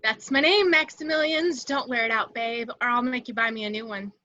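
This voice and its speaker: A sultry, brazen flirt acted by a woman, teasing and sure of herself. The voice is fairly high and bright, in an amateur script read on a dull lo-fi connection. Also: brisk. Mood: flirty, brazen.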